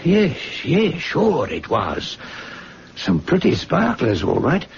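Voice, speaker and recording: An actor playing a jittery, folksy drinking chum who stammers and soothes, in old radio drama style. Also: male voice, on the high side, wildly swooping pitch, takes it slowly, full character voice. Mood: placating. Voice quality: creaky, swooping voice. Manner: stammering, colloquial, placating. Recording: dull lo-fi recording, faint noise.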